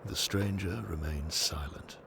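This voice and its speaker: A man's voice: low voice, unhurried, vocal fry, noisy background. A man reading scripted, storybook-style narration for an audio drama.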